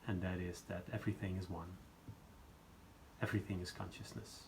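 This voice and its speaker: A man talking reflectively about spiritual ideas in short, halting phrases with pauses between them. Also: sits low, fairly even intonation, creaky, a little mellow, a little hiss or hum, rough recording. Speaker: discussion participant. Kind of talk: slow reflective spiritual talk.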